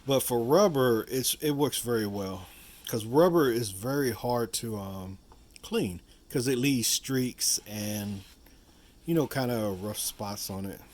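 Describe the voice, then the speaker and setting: A man's voice: mid-range voice, expressive, slow pace, darkish tone. A man giving a casual hands-on how-to demonstration, rambling and repeating himself with frequent asides. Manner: rambling, repetitive, with frequent "you know" asides. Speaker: video host demonstrating a product.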